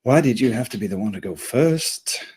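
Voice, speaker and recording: Man as sarcastic first mate, medium pitch, exasperated, complaining, comedy table read. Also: expressive, moderate pace, darkish tone, breathy edge. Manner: dry, complaining.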